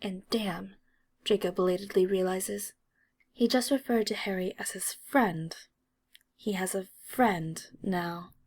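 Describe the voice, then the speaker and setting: A woman reading a story as an audiobook narrator, in an expressive storytelling style. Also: mid-range voice, slow pace, husky.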